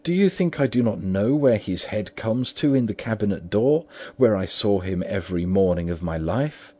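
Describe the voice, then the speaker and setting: A man's voice: on the low side, animated, moderate pace, dark tone, slightly rough, voice pushed up. A man voicing a frightened, loyal old butler who speaks urgently and pleads emphatically. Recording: dull lo-fi recording.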